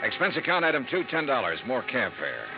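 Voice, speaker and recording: Fast-talking wry 50s radio investigator, muffled old broadcast, music under. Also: male voice, mid-range voice, huge pitch swings. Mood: narrating. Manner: dry, matter-of-fact. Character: wry male insurance investigator narrator. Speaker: lead and narrator. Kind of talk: 1950s radio drama narration.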